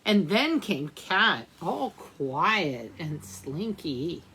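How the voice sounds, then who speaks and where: A woman's voice: lowish voice, sing-song, drawn-out words, a bit bright, slight background noise. A woman reading a picture book aloud for children's storytime, speaking to young listeners as she goes. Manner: addresses young listeners with questions and asides. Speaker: librarian storytime reader.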